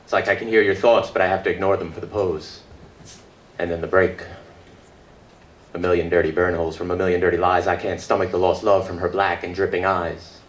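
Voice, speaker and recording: Echoey, low-voiced man reciting slam poetry. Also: flat delivery. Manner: rhythmic, dramatic delivery with deliberate pauses. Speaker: poet performing own work at a reading. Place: indoor book release reading before an audience. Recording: echoey live room with background noise.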